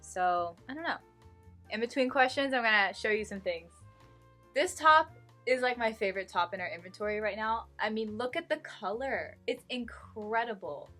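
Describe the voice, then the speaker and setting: A woman's voice: a little high, lively intonation, normal speed, sharp tone, faint music behind. A woman in her early twenties with an American accent, chatting informally and emphatically in a rambling vlog Q&A. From Houston, United States. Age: early 20s. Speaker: vintage reseller and vlogger.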